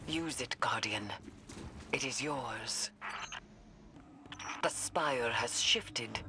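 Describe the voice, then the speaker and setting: A low-voiced occult priestess from a video game, speaking very slowly with ceremonial gravity. She sounds solemn and portentous, with clear ambience behind her. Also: noisy background. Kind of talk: scripted video game dialogue.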